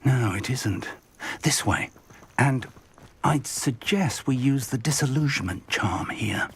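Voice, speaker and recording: An actor playing a cautious older wizard mentor in a video game, measured and quietly authoritative. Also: male voice, mid-range voice, lively intonation, a little hiss or hum. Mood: cautious, hushed. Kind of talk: scripted video game dialogue.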